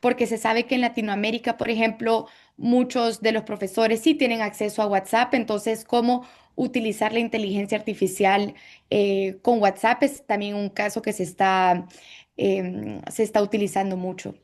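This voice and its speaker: A woman's voice: mid-range voice, flat delivery, normal speed, darkish tone. A woman speaking as a book co-author on an online panel, explaining examples with occasional fillers. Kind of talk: panel answer.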